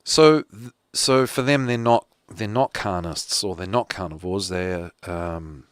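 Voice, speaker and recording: A man's voice: low voice, measured pace, clear, resonant tone, no echo, studio quality. A man with a New Zealand accent hosting a casual solo talk show, rambling with lots of fillers and restarts. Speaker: podcast host.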